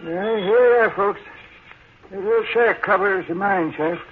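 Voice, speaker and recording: An actor playing an old, desperate rural American miner in a vintage radio drama, with folksy, clipped speech. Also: male voice, high-pitched, unhurried, noisy background. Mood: folksy, coaxing. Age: older. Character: old rustic miner turned desperate gunman. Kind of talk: 1950s radio drama acting. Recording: vintage radio recording, tinny narrow band.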